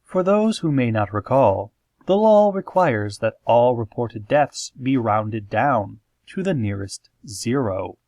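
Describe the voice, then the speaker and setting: A man playing a solemn, eerie pony radio host, reading scripted parody news in a measured, formal deadpan. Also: mid-range voice, no echo, clean studio sound.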